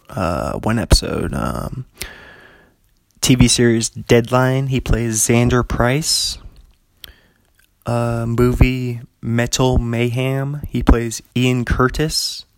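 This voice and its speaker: A man's voice: mid-range voice, vocal fry. A man hosting a podcast alone, chatting loosely and filling his sentences with "like" as he goes. He chuckles between thoughts, and the dry, close sound has no room echo. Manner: rambling, chuckling, heavy use of "like". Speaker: podcast host. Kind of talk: casual solo podcast monologue.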